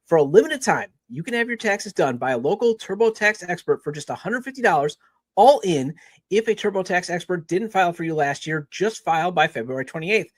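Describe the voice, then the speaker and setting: A man's voice: high-pitched, expressive, a little fast, no echo. A man who hosts a podcast, delivering a scripted sponsor and promo read. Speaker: podcast host.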